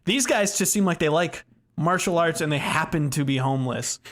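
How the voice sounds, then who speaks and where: A man's voice: fairly high pitch, lively intonation, normal speed, a bit bright. A man co-hosting a podcast, chatting casually about video games in slangy language with casual swearing. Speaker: podcast co-host.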